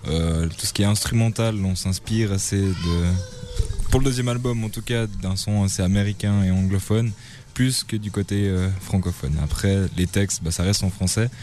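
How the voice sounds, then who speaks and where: A man's voice: on the low side, moderate pace, darkish tone. A man, a rock band member, explaining the band's music in an easygoing way. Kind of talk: casual interview answers.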